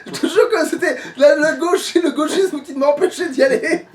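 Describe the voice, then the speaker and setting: A man's voice: extremely high pitch, normal speed. A man chatting casually while he plays a video game, informal and sweary, often questioning himself. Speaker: let's play player commentating. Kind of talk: casual gaming chat. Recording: distant from the microphone.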